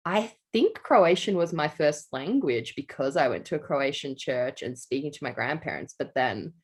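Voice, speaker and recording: A woman's voice: sits low, lively intonation, normal speed, husky. A young adult woman, co-hosting a writing podcast in casual chat, laughing often and telling stories with animation. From Australia. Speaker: podcast co-host.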